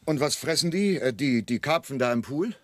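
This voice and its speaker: A man's voice: mid-range voice, lively intonation, moderate pace, no echo, clean studio sound, natural voice. An actor playing an anxious, hesitant man who narrates his own crime story in a German radio play. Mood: nervous.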